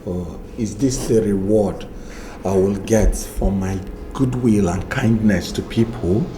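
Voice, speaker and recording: A betrayed father in a film drama. His voice is fairly low and drags slowly as he laments. Clear ambient noise surrounds him. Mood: lamenting. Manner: slow, lamenting. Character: wronged, sorrowful jailed father. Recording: noisy location ambience.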